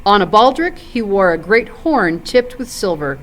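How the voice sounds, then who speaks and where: A woman with a mid-pitched voice reads aloud as a volunteer storyteller. Her intonation moves widely and lively, with expressive phrasing suited to a family story hour. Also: normal speed, poor audio. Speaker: volunteer story reader and ESL teacher.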